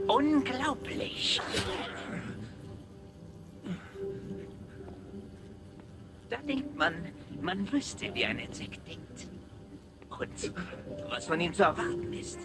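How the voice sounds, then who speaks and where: A woman's voice: lowish voice, big pitch swings, measured pace. An actress voicing a cruel, contemptuous female camp commandant who barks orders in a video game. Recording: distant voice in a noisy game mix with loud music.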